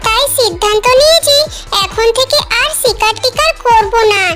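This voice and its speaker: A sly little fox from a children's cartoon. The voice sits extremely high, almost childlike, and chatters in a wheedling, scheming way. Loud music plays behind it. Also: animated, moderate pace. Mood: feigning helplessness. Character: cunning fox scheming to farm goats. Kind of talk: animated children's story dialogue.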